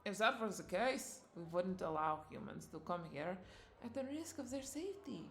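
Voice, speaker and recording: A woman's voice: mid-range voice, big pitch swings, moderate pace. A woman playing a flirty, doting vampire bartender in a roleplay audio drama, coaxing and affectionate as she speaks to the listener. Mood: soothing, attentive. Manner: coaxing, affectionate, lingering over words with soft chuckles.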